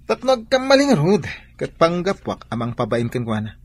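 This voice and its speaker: A man's voice: on the high side, huge pitch swings, moderate pace, slightly rough, heavily acted. A man playing a troubled, weary grown son with an Ilocano Filipino accent in a radio drama, sighing and downcast.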